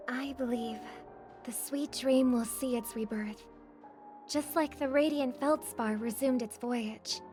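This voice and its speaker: Gracious, high-voiced young starlet. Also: female voice, a little mellow, faint music behind. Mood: earnest resolve. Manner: polite, measured, earnest. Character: gracious, idealistic young female celebrity singer. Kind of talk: video game voice acting.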